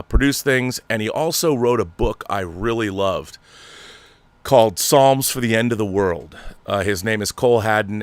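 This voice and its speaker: A man with a fairly low voice hosts a film commentary show. He speaks emphatically and conversationally, dropping in asides. The recording is dry and close, with little room sound. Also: a bit bright, clear, resonant tone, no room sound. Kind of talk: casual commentary and reading an article aloud.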